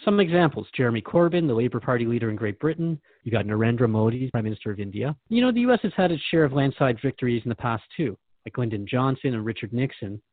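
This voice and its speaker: A man's voice: mid-range voice, expressive, fast, few pauses, no room sound. A man hosting a radio show in an earnest, inquisitive, conversational manner, recorded dry and somewhat muffled.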